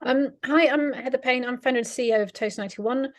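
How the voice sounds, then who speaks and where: A woman's voice: high-pitched, quick pace, dry recording, studio quality. A woman startup founder speaking on an online panel, friendly with light laughs and fillers, over a video call. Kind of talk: panel discussion.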